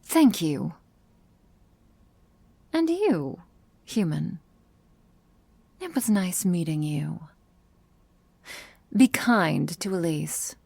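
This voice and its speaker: A woman's voice: fairly low pitch, very animated, extremely slow, gravelly, pauses often, mellow, husky, no room sound, full character voice. A woman voicing a grave, worried vampire messenger in an ASMR roleplay, speaking in a stern, formal, urgent manner.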